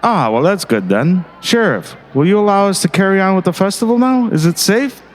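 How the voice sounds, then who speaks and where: A man's voice: high-pitched, animated, a little fast. A man playing a pompous, condescending small-town mayor in an audio drama. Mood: placating. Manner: smooth-talking, condescending. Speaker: voice actor.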